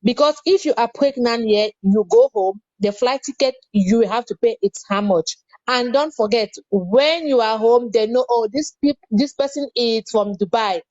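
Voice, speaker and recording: A woman's voice: a little high, expressive, normal speed, hardly any pauses, a little mellow, dry recording. A woman giving earnest, emphatic advice in a casual chat. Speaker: guest. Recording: dry, dull lo-fi sound.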